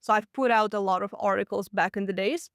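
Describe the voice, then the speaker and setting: Chatty Russian-accented woman, smooth voice. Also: mid-range voice, steady tone, no room sound, studio quality. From Latvia. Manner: candid storytelling, quick and chatty, laughs often. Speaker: podcast host. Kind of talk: solo business podcast monologue.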